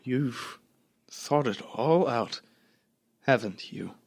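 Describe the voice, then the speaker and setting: A young man, a student actor playing a sober, weary survivor in a radio play, speaking in a measured, formal and grave manner. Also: mid-range voice, lively intonation, gravelly, breathy edge, exaggerated voice. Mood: wary disbelief. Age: young adult. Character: sober, weary male survivor narrating a Martian invasion. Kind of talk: radio play acting and narration.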